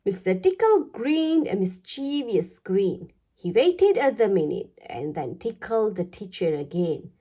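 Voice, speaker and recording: A woman reading a children's picture book aloud, with expressive, deliberate phrasing aimed at young listeners. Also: medium pitch, breathy edge, lo-fi sound. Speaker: children's story reader. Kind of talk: read-aloud children's storytelling.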